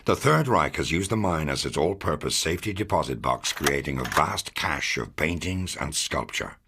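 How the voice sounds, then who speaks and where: A man playing a gruff, fatherly, middle-aged American intelligence officer in a wartime video game, grave and authoritative, with a gravelly, husky voice. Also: rumbling low, lively intonation, moderate pace, noisy background. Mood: grave, regretful. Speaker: military intelligence officer giving mission briefings. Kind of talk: scripted dramatic video game briefing.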